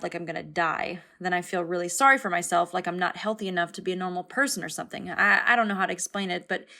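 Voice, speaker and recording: A woman's voice: on the low side, vocal fry, a bit bright. A woman playing an anxious young cartoon passenger who overshares in a rambling, candid, self-deprecating, naturalistic way. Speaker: passenger in an animated series. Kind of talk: naturalistic confessional conversation.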